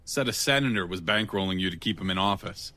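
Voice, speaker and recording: A man's voice: sits low, fast, pushed and bright. An actor playing a wry, unafraid tough guy in an audio drama, speaking in a dry, blunt, sardonic way. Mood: wry, probing. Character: wry, unafraid, plain-spoken male tough guy with a kind streak.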